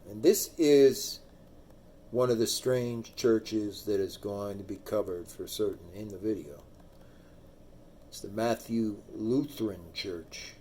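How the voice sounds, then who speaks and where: Male true crime host, low and mellow, voice trailing off at line ends. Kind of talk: true crime commentary and reading.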